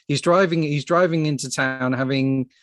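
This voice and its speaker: A man hosting a casual panel discussion, speaking haltingly and often restarting his phrases. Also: fairly high pitch, fairly even intonation, a bit bright, no room sound, studio quality. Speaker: podcast host.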